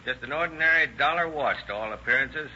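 An actor playing a shrewd police lieutenant, sardonic and pressing, in an old radio crime drama interrogation. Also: male voice, medium pitch, brisk, sharp tone. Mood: slyly casual. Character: shrewd, needling, hard-driving male police lieutenant interrogator. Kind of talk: 1930s-1940s radio crime drama acting. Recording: dull, noisy old radio recording.

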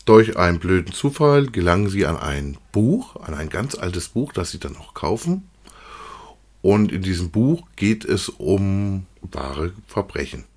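A man's voice: low-pitched, very animated, a little slow, darkish tone. A man hosting an unscripted, casual review chat, feeling his way through sentences with some repetition. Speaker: podcast co-host reviewing audio dramas.

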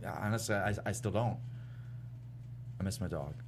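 A man acting an awkward, self-pitying young male vampire in a comedy script read, halting and sardonic. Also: low voice. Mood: sad, awkward. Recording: clear background noise and hum.

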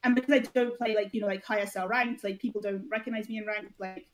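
A woman's voice: mid-range voice, fairly even intonation, quick pace. A woman guest on a podcast, an esports founder and coach, speaking candidly in a rambling way full of "like" fillers and hesitant restarts. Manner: candid, blunt at times. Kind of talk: casual podcast conversation.

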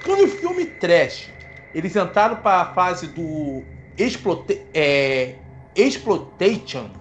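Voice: medium pitch, very animated, slow pace, slight background noise. A host, explaining film history in a casual, playful way to the audience. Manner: playful, self-mocking asides.

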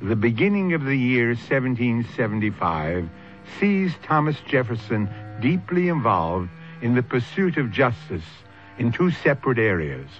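Casting: male radio drama host, lively rise and fall, deliberate theatrical narration, music behind, slightly dull old recording. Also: medium pitch.

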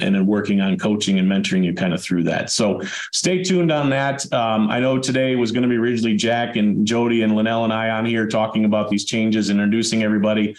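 A man hosting a webinar in a relaxed, conversational way, his voice hoarse, raspy and dry from a chest cold. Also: fairly low pitch. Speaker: webinar host. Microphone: video call. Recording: echoey room over a video call.

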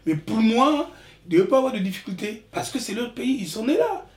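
A man in his early sixties from the Republic of the Congo, telling his life story in a relaxed interview, animated and full of restarts. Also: extremely high pitch, brisk. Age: 60s. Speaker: interviewee, father. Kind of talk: casual interview talk. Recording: echoey room, distant mic.

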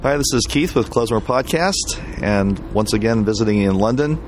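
A man hosting a podcast, asking halting questions full of restarts. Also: fairly low pitch, over loud music, low-quality recording. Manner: halting, many fillers and restarts. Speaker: podcast host. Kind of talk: interview questions.

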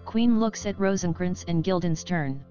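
Female narrator, lowish voice, scripted stage directions, dull recording, music behind. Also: lively intonation, moderate pace, warm tone.

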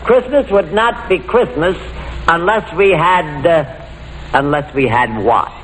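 A man's voice: on the high side, a little slow, a bit bright. A man playing an exasperated, stammering older quizmaster in an old-time radio comedy. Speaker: quiz host. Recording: very noisy old radio recording.

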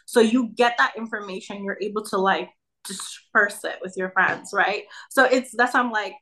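A woman's voice: mid-range voice, normal speed, bright, forceful tone, slight background noise, poor audio. A young woman from Nigeria chatting as a podcast guest, full of "like" and "you know". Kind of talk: casual conversation.

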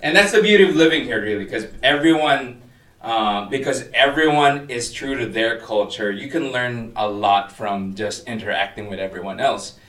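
A man with a neutral American accent carrying Filipino inflections, co-hosting a casual podcast in an animated, chatty way. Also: mid-range voice, normal speed, recorded from a distance. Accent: Filipino-inflected American English. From Philippines. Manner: animated, chatty, laughs while teasing. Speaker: podcast co-host. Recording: echoey room, voice a bit off-mic.

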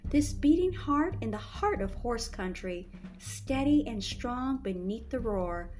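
A woman's voice: fairly high pitch, lively intonation, unhurried, darkish tone, faint music behind. A woman reading scripted commercial narration as a voice-over artist.

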